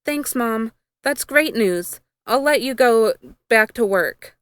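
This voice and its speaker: A woman's voice: a little high, animated, slow pace, smooth, dry recording. A woman reading the storybook dialogue of an anxious young girl who is eager to please. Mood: polite. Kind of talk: storybook dialogue in fan fiction.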